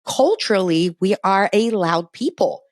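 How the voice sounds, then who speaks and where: A woman's voice: mid-range voice, expressive, unhurried, dry recording, clean studio sound. A middle-aged woman from Brazil, a native Portuguese speaker, co-hosting a family talk show in an earnest, emphatic, conversational way. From Brazil, moved to the US at eleven.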